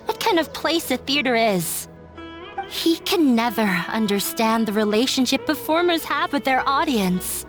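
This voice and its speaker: An actress playing a dejected, self-sacrificing young woman in an anime game dub, confiding in a sorrowful, resigned way. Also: a little high. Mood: bitter, resigned. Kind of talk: English-dubbed anime game voice acting.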